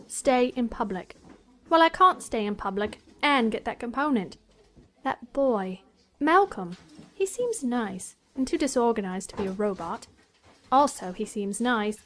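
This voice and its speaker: A woman's voice: on the high side, lively intonation, unhurried, fairly bright tone, slight background noise. A woman playing a defiant, anxious teenage schoolgirl in an audio drama, cheeky and urgent. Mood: rueful. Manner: cheeky, self-talking, urgent. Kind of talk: full-cast audio drama acting.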